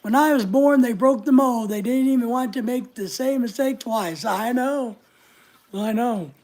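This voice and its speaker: A middle-aged man with a voice high enough that strangers take it for a woman's. He chats loosely with viewers, joking at his own expense. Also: unhurried, a little mellow. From United States. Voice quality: high voice often mistaken for a woman's. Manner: chatty, joking, self-mocking. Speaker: channel host reading live chat. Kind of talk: casual livestream chat.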